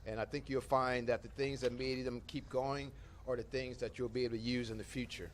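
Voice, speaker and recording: A man with a mid-pitched voice speaks earnestly, urging his young listeners on in a steady, encouraging way. A faint hiss lingers behind him. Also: brisk. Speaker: guest speaker. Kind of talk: motivational advice to youth.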